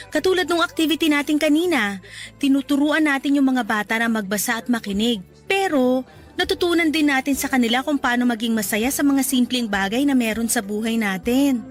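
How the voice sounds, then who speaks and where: High-voiced, friendly young Filipino woman. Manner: playful, chatty and encouraging. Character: friendly, encouraging, outgoing young woman volunteer, a self-described introvert. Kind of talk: Tagalog radio drama acting, conversational. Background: background music.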